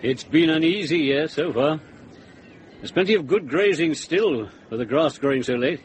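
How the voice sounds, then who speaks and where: A man's voice: on the high side, lively intonation, moderate pace, close to an everyday voice. A man acts a simple, kindly shepherd monk in a radio play. His voice moves up and down a great deal as he frets and grumbles. A faint noise lies underneath. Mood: teasing grumble. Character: good-hearted, fretful, homely monk and shepherd. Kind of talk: radio drama acting.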